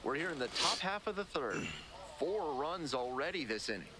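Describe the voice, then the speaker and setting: A man's voice: fairly high pitch, normal speed. A man voicing a male baseball play-by-play announcer in polished broadcast commentary. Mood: steady play-by-play. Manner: polished, lively announcer delivery. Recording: loud background game noise.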